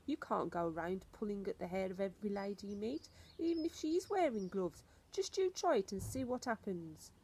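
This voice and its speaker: A woman's voice: mid-range voice, expressive, brisk, few pauses, dark tone, slight background noise, natural voice. Woman acting an emphatic, stern old grandmother. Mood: snappish, emphatic. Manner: emphatic, instructive, snappish.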